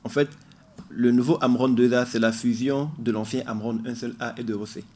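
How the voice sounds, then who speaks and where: An earnest man talking through his ideas as a guest on a radio show. He explains at length and leans hard on key words. His pitch sits in the ordinary middle range. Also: fairly even intonation, brisk, a little mellow. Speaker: musician guest. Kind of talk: radio interview. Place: radio studio.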